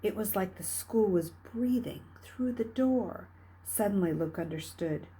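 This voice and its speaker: Female audiobook-style reader, mid-pitched, a touch raspy, expressive and dramatic children's fiction narration. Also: measured pace, poor audio. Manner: dramatic, expressive, with hushed dips and emphatic rises. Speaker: reader reading a children's novel aloud. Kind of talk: read-aloud storytelling narration.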